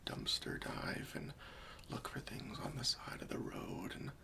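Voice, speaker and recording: A gentle, patient helper played by a man in an ASMR roleplay, whispering slowly and coaxingly. The voice is crisp and sibilant on top, with a friendly, reassuring way of putting questions. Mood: patiently questioning.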